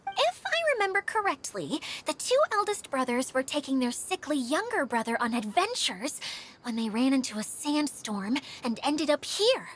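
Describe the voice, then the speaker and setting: A woman playing a kindly, warm-hearted young female guide in a video game, expressive and airy. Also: high-pitched, moderate pace, slight background noise. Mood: thoughtful.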